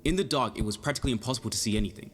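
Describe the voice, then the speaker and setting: A man's voice: medium pitch, rapid-fire, slight background noise, lightly acted. An actor playing an anxious, earnest young amateur detective in an audio drama, flustered and precisely worded as he acts and narrates his story. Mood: panicked. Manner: flustered, stammering, protesting, precise wording. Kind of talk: audio drama acting with first-person narration.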